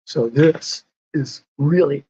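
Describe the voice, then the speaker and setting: A man's voice: on the high side, slow pace, mellow, breathy edge, lo-fi sound. A man in a casual podcast conversation, explaining whole foods in a patient way and laughing often. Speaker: lifestyle medicine advocate.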